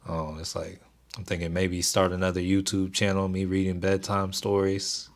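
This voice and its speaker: Deep, soothing young man. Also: moderate pace. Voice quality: soothing, even voice. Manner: laid-back, keeps delivery even, reads chat aloud. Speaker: stock trading livestream host. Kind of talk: casual livestream chat with viewers.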